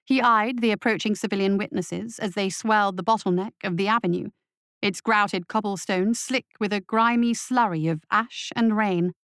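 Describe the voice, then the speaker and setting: A woman's voice: medium pitch, normal speed, a bit bright, clear, resonant tone, no echo. Dry-recorded woman narrating dramatic fiction. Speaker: audiobook narrator.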